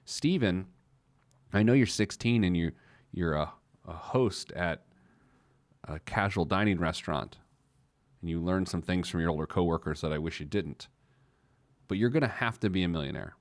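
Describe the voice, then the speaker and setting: A man's voice: deep voice, normal speed, long pauses, dry recording. An American man hosting a personal finance show in a conversational, emphatic way, acting out objections and answering them.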